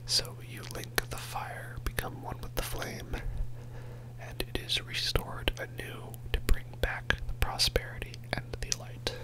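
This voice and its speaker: A man whispering a soft, rambling ASMR commentary over gameplay as host of his channel, joking about himself with quiet laughs. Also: a little slow, poor audio. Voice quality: whispering throughout.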